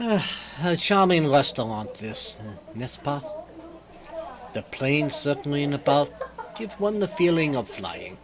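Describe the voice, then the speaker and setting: An actor playing a courteous, shrewd, self-assured detective with a French accent, speaking precisely and formally in old-time radio mystery style. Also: male voice, a little high, big pitch swings, takes it slowly, crowd noise, rough recording. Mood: genial, explaining. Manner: precise, formal, fond of rhetorical questions.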